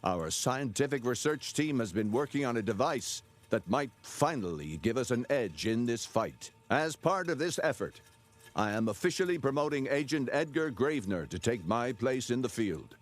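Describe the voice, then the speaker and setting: An actor as a solemn, mid-pitched agency director. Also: male voice, expressive, moderate pace, natural voice. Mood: solemn, resolute. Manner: formal, solemn, oratorical. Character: formal, stern male director of a secret agency. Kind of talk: scripted address in a video game.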